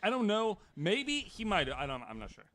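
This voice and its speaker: A man's voice: sits high, huge pitch swings, normal speed, a bit bright, no room sound. A man co-hosting a podcast with casual, joking, sarcastic banter. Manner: joking, sarcastic, mock-incredulous. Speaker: podcast co-host.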